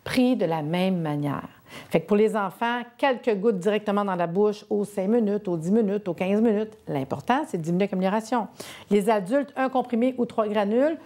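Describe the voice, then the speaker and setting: A woman's voice: mid-range voice, normal speed, mellow. A woman with a Québécois accent presenting a sponsored health segment, chatty and persuasive. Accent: Québécois French.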